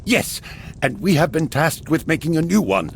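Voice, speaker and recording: A man playing an excitable Norse warrior-smith with a gravelly voice in a mythological video game scene. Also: on the high side, moderate pace, a little hiss or hum. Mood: earnest. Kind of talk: dramatic video game cutscene acting.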